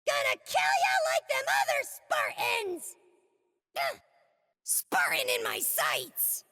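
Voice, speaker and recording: A woman playing a small, cocky, aggressive alien foot soldier in a video game, squeaky and gravelly, taunting and boastful, with long reverb. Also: very animated, slow pace, heavily acted. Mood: furious taunting. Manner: taunting, boastful, hot-headed, shouty. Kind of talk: video game combat barks.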